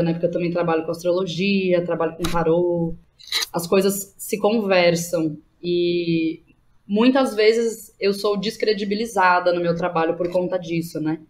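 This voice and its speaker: A woman in her thirties with a Brazilian accent, talking casually and candidly as an interview guest, often checking in with little tag questions. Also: fairly low pitch. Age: 30s. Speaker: podcast guest, theatre teacher and playwright. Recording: clear background noise behind the voice.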